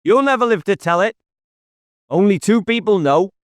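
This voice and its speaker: Sly, threatening woodsman, a male reader acting, well raised pitch, faint Cornish burr, taunting intensity, audiobook villain. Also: animated, normal speed, no echo. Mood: menacing, gloating. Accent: subtle Cornish burr. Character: menacing, sly male woodland murder suspect with bow. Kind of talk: audiobook character dialogue.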